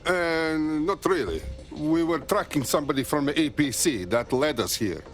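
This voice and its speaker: A man's voice: on the high side, moderate pace, soft background music, close to an everyday voice. A man playing a brash, foul-mouthed resistance operative in a video game, talking in blunt, clipped sentences. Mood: brash, impressed. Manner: blunt, clipped phrasing with dropped words. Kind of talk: video game cutscene acting.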